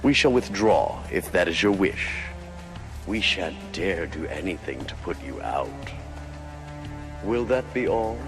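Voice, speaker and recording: A menacing, silky video game sorcerer villain. The voice is deep and unhurried, swooping theatrically through mock courtesy and condescension. Music plays clearly behind it. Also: male voice, animated, full character voice. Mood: mocking, smug. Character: suave, menacing male villain, a scheming researcher-sorcerer. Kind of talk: dramatic video game acting.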